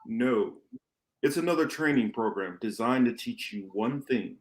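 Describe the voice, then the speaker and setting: A man plays a commanding mentor of a rebel band in a radio play. He speaks gravely and with deliberate emphasis, pausing for drama, in a medium-pitched male voice. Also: lively intonation, close to an everyday voice. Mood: grave, instructive. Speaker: podcast host reading a radio play. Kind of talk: amateur radio play reading.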